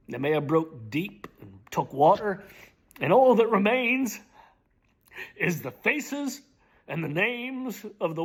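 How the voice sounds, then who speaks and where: A middle-aged man giving a slow, theatrical reading of lyrics, often dropping to a hushed whisper. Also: sits high, slightly rough. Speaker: dramatic reader. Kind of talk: dramatic spoken reading of song lyrics.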